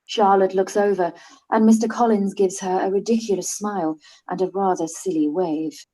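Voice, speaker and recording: A woman reading the stage directions for a live-read radio play. Her voice is mellow, mid-pitched and calm. The reading stays even throughout. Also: normal speed, poor audio. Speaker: narrator.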